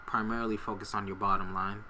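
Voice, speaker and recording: A man co-hosting a casual podcast, talking in a soft-spoken, laid-back and agreeable way into a desktop microphone. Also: on the low side, flat delivery, quick pace, background noise. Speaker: podcast co-host. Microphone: desktop USB microphone.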